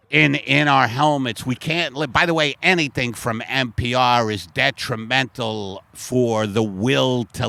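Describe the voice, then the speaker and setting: A man's voice: sharp tone, no echo. Ranting man playing a frantic coach. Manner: ranting, rambling, breaks into high put-on voices. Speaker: comedian playing a football coach. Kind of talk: improvised comedy sketch. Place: outdoors in a park.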